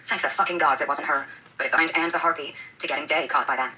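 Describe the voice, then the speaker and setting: Low-voiced, forceful woman narrator. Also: steady tone, fast, poor audio. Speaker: audiobook narrator. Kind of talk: scripted fiction narration.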